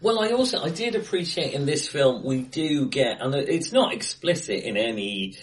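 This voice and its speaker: A man co-hosting a casual film discussion, recorded face to face in an acoustically bright room. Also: medium pitch, expressive, normal speed, rough recording. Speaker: podcast co-host.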